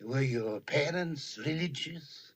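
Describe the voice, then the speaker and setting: A man's voice: fairly high pitch, slow pace, slightly rough. A man playing a fussy, polite detective who questions people with formal courtesy. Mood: polite, probing. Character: fussy, polite middle-aged male detective. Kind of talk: film dialogue.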